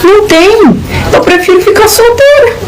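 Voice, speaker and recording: A woman's voice: very high-pitched, expressive, moderate pace, rough recording. A woman in her late thirties talking frankly to viewers about being single, stressing and repeating her points. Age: 30s. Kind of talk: casual chat to camera.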